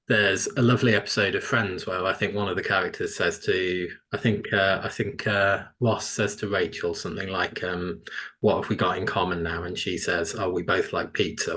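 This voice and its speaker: A man with a notably deep voice, speaking on a panel over a video call. He makes his case persuasively and keeps inviting agreement with questions. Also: a bit bright. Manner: persuasive, leans on tag questions. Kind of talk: panel discussion.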